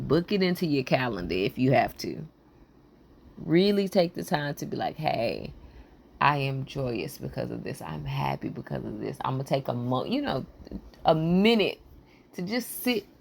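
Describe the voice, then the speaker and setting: A woman hosting a motivational podcast, talking in a loose, rambling way with a raspy, froggy voice, hoarse and short on sleep. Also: extremely low pitch, sing-song, normal speed, a little mellow. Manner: loose, rambling encouragement with casual slang. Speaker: podcast host.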